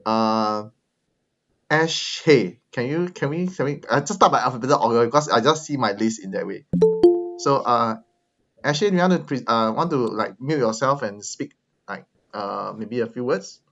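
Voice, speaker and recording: A man's voice: medium pitch, lively intonation, moderate pace, fairly bright tone, muffled recording, faint music behind. Hesitant man hosting a video call. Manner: hesitant, many fillers. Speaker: meetup host. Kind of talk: casual video call chat.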